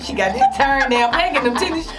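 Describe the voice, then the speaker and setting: A woman's voice: high-pitched, animated, fast, music in the background. A woman, teasing a friend and laughing.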